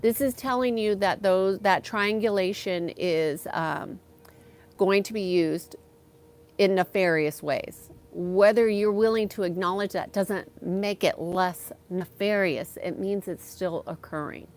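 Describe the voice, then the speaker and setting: A woman hosting a live stream from her backyard, talking slowly and softly, pausing with ums as she reads and answers chat comments. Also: medium pitch. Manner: slow, soft-spoken, with frequent ums.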